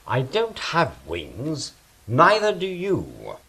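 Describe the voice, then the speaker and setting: Man as anxious angel, slow and high-pitched. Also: wildly swooping pitch, creaky, sharp tone, slightly rough, full character voice. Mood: defensive. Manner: fretful, defensive, deliberate. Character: Indivisible, an anxious, defensive male angel guarding Eden. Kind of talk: stage-style audio drama acting.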